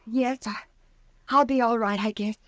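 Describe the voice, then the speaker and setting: A woman's voice: fairly high pitch, steady tone, normal speed, no room sound, close to an everyday voice. An actress playing a nervous, earnest young woman with a hesitant, flustered manner in an old-time radio drama. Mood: uneasy.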